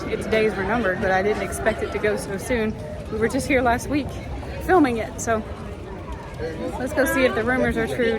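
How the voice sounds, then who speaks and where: A woman vlogging while walking through a theme park, chatty and self-deprecating, her voice slightly hoarse. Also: on the high side. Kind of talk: casual vlog narration. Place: outdoor theme park, crowds and music around. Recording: loud park crowd and music behind.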